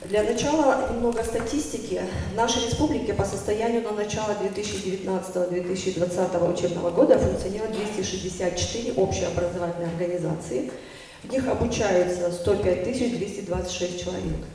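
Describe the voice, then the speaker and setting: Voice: medium pitch, dark tone. Distant, echoey formal report reader. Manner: measured official delivery with occasional hesitations and self-corrections. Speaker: speaker presenting a report at a public meeting. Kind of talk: formal report read aloud, dense with statistics. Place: large meeting hall at an academy. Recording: echoey hall, distant mic, faint noise.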